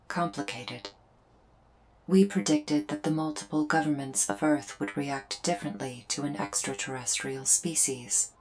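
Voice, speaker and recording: A woman voicing a solemn female alien invader in a scripted ASMR roleplay, speaking in measured, formal, deliberate phrases with pauses. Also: sits low, low-quality recording. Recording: music behind the voice. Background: music.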